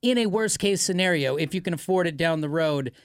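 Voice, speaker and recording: A man's voice: a little high, a little fast, dry recording, clean studio sound. A man hosting a podcast in casual chat, easygoing and joking, who explains things at length.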